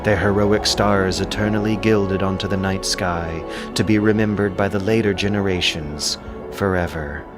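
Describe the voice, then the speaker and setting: Deep voiced guy narrating an epic audiobook, music playing behind. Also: steady tone, normal speed. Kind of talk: scripted epic storytelling narration.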